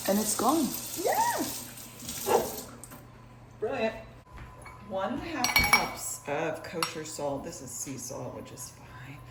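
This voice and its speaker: A young woman with a husky morning voice, chatting playfully to viewers in a cook-along vlog from her kitchen. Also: mid-range voice, huge pitch swings, slow, long pauses, very noisy. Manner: bubbly and playful, talks to viewers while cooking. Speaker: cooking vlogger. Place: home kitchen. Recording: echoey kitchen.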